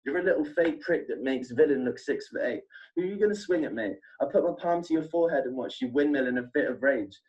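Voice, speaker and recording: A man's voice: mid-range voice, expressive, quick pace, a little mellow, distant mic, dull audio. A man with a southern English accent delivering aggressive, insult-heavy battle rap verses. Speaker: battle rapper.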